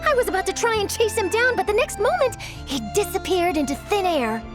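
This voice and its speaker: A perky, high-voiced young reporter. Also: female voice, very animated, fairly quick. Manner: perky, inquisitive. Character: young woman news reporter, nosy and eager. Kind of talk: game dialogue. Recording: game voice with clear music behind.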